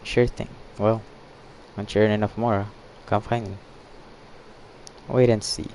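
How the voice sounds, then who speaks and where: Man, low mellow voice, halting game playthrough, reading aloud, faint noise behind. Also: little pitch movement. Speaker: gaming streamer.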